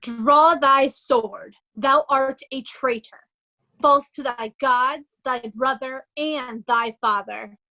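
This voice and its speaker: A woman playing a wronged noble knight in Shakespearean reader's theatre, declaiming deliberately as a challenger. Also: sits high, pushed and bright, heavily acted, poor audio. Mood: righteous challenge.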